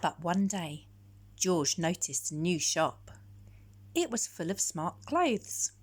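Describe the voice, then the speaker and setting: A woman storyteller narrating a children's picture book in a measured, expressive way. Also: on the low side.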